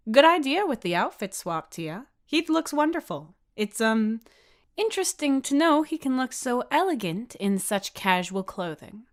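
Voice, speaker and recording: A woman's voice: on the high side, big pitch swings, moderate pace, voice pushed up. A woman voicing an approving, encouraging adult schoolteacher in a story. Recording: music behind the voice.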